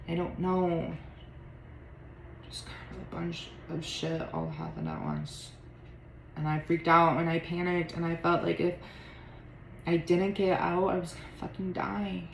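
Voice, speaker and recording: A halting, guilt-ridden young woman with vocal fry. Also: on the low side, a little mellow, echoey room, slight background noise, poor audio. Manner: halting, hesitant talk full of fillers. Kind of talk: intimate drama dialogue.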